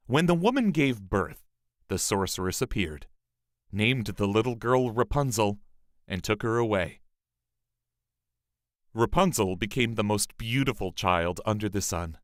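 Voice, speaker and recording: A man reading a fairy tale as a storyteller, in a measured, expressive scripted narration. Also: fairly low pitch, no room sound, clean studio sound.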